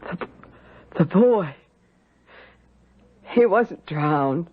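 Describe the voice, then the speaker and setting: An actress playing a stern, bitter older housekeeper in a radio drama, with grave, emphatic delivery. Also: female voice, fairly low pitch, expressive, extremely slow, breathy edge, exaggerated voice. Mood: bitter, accusing. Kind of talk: 1970s radio drama acting. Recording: dull vintage radio recording.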